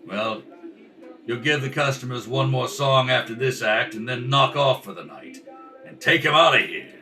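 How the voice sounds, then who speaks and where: A man's voice: mid-range voice, lively intonation, normal speed, bright, forceful tone. A man playing a tough, scheming 1930s nightclub owner in a radio crime drama, with clipped, slangy wise-guy delivery. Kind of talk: old-time radio crime drama acting. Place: indoors at a kitchen table.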